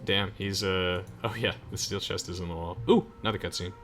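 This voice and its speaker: A man's voice: low voice, steady tone, fairly quick, over music. A young man giving casual video game commentary to friends as the host of a playthrough. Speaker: let's play host. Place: game voice chat with music behind.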